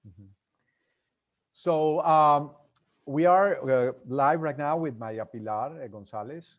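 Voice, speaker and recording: A man hosting a live conversational interview, speaking in halting, hesitant phrases. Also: a little high, highs cut off. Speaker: interview host.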